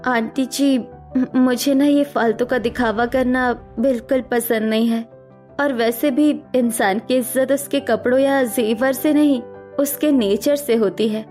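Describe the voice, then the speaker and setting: A woman's voice: fairly high pitch, fairly even intonation, unhurried, darkish tone, soft background music, close to an everyday voice. A woman voicing a plain-spoken, principled young bride in a cartoon, earnest and self-assured. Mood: earnest, firm.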